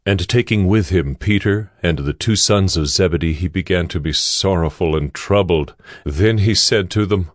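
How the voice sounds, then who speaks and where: A man narrating scripture from a script in a measured, solemn way. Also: sits low, no room sound, studio quality. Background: music.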